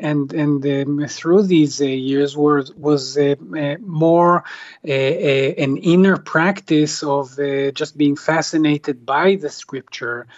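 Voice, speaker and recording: A man whose first language is Hebrew, a translator answering interview questions in a halting, reflective way, full of ums and uhs. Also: a little high, fairly even intonation, dry recording, lo-fi sound. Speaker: translator, guest.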